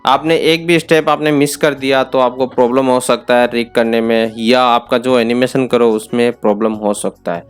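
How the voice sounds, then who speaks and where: A man with an Indian accent hosting an informal step-by-step software tutorial, instructing and repeating key points. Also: medium pitch, steady tone, moderate pace, hardly any pauses, clean tone, faint music behind. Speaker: tutorial host.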